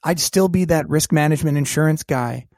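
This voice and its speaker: A man telling a personal story as a podcast guest, lively and acting out the voices of people in it. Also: a little high, fairly quick, no room sound, clean studio sound. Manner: animated storyteller who acts out quoted dialogue. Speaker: guest, keynote speaker and coach.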